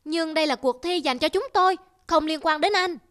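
A woman's voice: high voice, fairly quick. A woman voicing timid small forest birds in a cartoon, speaking in a worried, pleading way. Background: loud music.

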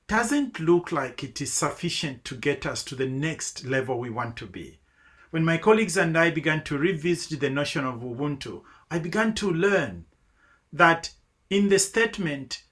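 A middle-aged man from Zambia offers reflective closing words on a webinar, heard through a video call from a home office. He talks in an unhurried, measured way. His voice sits slightly high for a man. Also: fairly bright tone. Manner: slow, earnest, measured. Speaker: webinar speaker.

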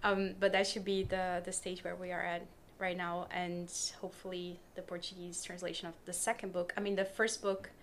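A woman's voice: on the low side, little pitch movement, normal speed, hardly any pauses, slight background noise. A woman chatting casually as a podcast guest, with hesitant, loose phrasing. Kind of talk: casual interview chat.

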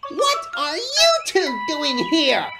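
A woman's voice: high-pitched, huge pitch swings, unhurried, over loud music, voice pushed up, full character voice. A woman voicing a furious, snobbish, indignant male steam engine in a children's story. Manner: indignant, scolding.

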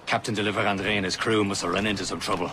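An actor playing a brusque, steady comrade-in-arms in a video game, speaking. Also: male voice, lowish voice, very fast, close to an everyday voice. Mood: brisk, guarded. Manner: brusque, commanding. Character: brusque, steady Irish assassin, loyal older comrade. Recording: faint outdoor background noise.

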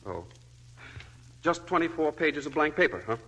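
A man's voice: on the high side, very animated, normal speed, a bit bright, low-quality recording. An actor playing a charming but troubled young husband who talks his way around the truth, in a 1940s radio drama. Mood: glib. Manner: glib, evasive.

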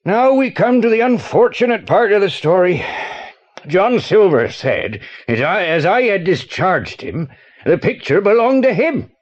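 An actor playing an eccentric, hot-tempered middle-aged British art dealer in a children's audio play, theatrical and well-spoken. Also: male voice, high voice, sing-song, measured pace, lo-fi sound, full character voice. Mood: rueful, recounting.

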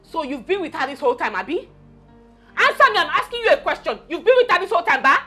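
Jealous scorned wife, Nigerian accent, high swooping voice, combative, repetitive, film drama. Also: female voice, big pitch swings, fast, faint music behind. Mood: enraged. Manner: combative, repeats demands and curses. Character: fiery, jealous, scorned wife fighting for her husband.

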